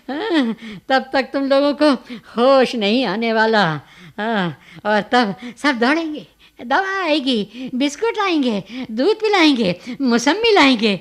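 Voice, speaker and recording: An actor playing a querulous, self-pitying, sick old father in a radio play, complaining in a plaintive, drawn-out way. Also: extremely high pitch, a bit bright, full character voice. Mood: aggrieved, self-pitying. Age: older. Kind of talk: Hindi radio play acting.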